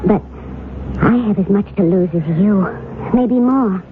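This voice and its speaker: A woman's voice: mid-range voice, unhurried, lightly acted. An actress playing a guarded, secretive woman who answers tersely and evasively in a 1970s radio drama. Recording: tinny hissy old radio broadcast.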